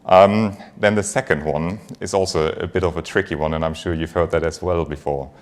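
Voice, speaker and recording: A man's voice: deep voice, steady tone, a little fast. A man with a German accent, a native German speaker, giving a conversational conference presentation as a finance executive, engaging the audience with light humour.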